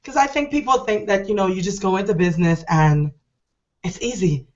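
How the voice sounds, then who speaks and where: A middle-aged woman hosting an online show, hoarse and deep-voiced from a cold, talking in a chatty, rambling, enthusiastic way. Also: lively intonation, fairly quick, darkish tone, lo-fi sound. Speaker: host. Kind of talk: casual online show talk.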